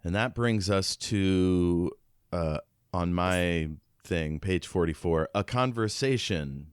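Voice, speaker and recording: A man's voice: low voice, slow, clean tone, no echo, clean studio sound. A man hosting a podcast, casually chatting about a book. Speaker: podcast host. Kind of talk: casual book discussion chat.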